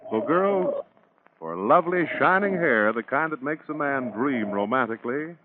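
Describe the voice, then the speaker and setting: A man's voice: mid-range voice, animated, normal speed, noisy background. A man working as a 1940s radio announcer, delivering advertising copy in a polished, emphatic way. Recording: muffled 1940s radio broadcast with music. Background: music.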